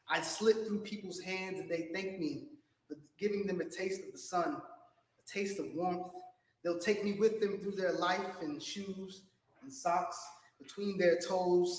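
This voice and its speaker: A man performing spoken word poetry on stage in a hall, with dramatic, measured phrasing. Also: high voice. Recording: echoey hall, distant from the mic.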